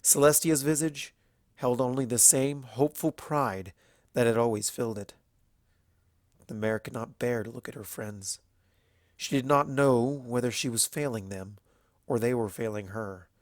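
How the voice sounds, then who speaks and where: Measured male storyteller, dry close sound. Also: medium pitch, dry recording. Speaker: narrator. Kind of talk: scripted dramatic fiction narration.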